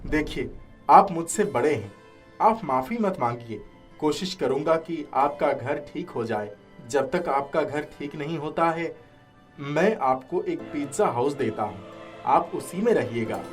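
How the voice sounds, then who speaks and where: Man as a humble, slow-spoken poor worker. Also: a little high, animated, soft background music. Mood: polite, grateful. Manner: polite, earnest. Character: humble, forgiving poor garbage collector, respectful. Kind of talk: cartoon story dialogue.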